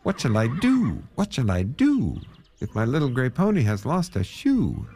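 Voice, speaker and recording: A man's voice: sits high, wildly swooping pitch, normal speed, mellow, pitched above the performer's own voice, heavily acted. A man voicing a worried, pleading pony owner from a children's storybook, in sing-song rhyme. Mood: fretful. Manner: sing-song rhyming pleas.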